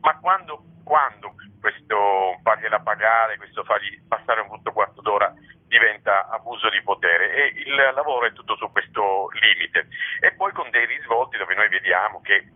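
A man's voice: lowish voice, normal speed, slightly rough. A man giving reflective, explanatory answers in a spontaneous interview, often checking in with a short tag question. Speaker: playwright and theatre director being interviewed. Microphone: telephone. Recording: muffled narrow-band telephone line.

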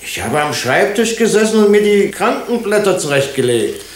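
An actor playing a self-possessed hospital doctor who answers drily and briefly in a radio drama. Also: male voice, high voice, big pitch swings, normal speed. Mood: dry, composed. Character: self-possessed, dry male hospital doctor under suspicion. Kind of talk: German radio drama acting. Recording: roomy, echoing radio drama studio.

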